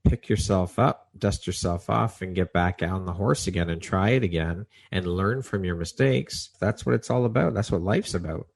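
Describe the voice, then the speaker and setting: A woman's voice: extremely low pitch, moderate pace, creaky, hardly any pauses, darkish tone, slightly rough. A woman hosting a podcast, asking questions and affirming her guest encouragingly. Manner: affirming, encouraging, frequent backchannels. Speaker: podcast host. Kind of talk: interview questions and chat.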